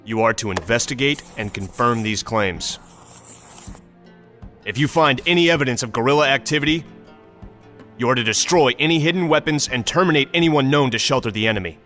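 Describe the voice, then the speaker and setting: Military briefer, male actor as stern American officer: clipped, authoritative, sharp-edged delivery, music underneath. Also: mid-range voice, a little fast, natural voice. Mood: stern, businesslike. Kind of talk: scripted video game mission briefing.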